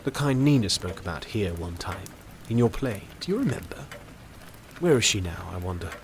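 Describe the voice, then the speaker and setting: An older doctor, worldly and unsentimental. He reasons drily in a low, measured voice. The audio drama has faint noise behind him. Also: sing-song. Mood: wistful, musing.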